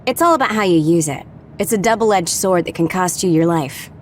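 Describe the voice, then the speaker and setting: A woman voicing a wry, tough young soldier, lowish voice. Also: lively intonation, brisk, slight background noise, natural voice.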